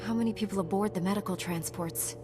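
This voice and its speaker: A resolute knightly heroine voiced by a woman speaks with calm, earnest formality. The pitch is medium, in video game style, with loud music behind the voice. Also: fast, natural voice. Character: calm, resolute female Jedi knight hero. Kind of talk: video game hero dialogue.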